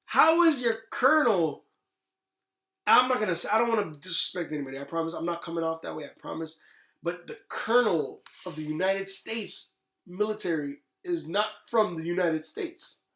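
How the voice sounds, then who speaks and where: A man's voice: high-pitched, lively intonation, moderate pace, sharp tone. A man in his thirties chatting casually and opinionatedly as a podcast co-host, emphatic and repeating phrases for stress. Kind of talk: casual opinionated movie chat. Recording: roomy, echoey sound.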